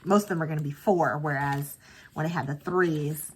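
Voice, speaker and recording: A woman's voice: deep voice, fairly even intonation, normal speed, slight background noise. A woman host chatting casually through her weekly planner setup, stuffy-nosed from a cold, with lots of ums and self-corrections. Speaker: planner sticker channel host. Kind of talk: casual planning vlog chat.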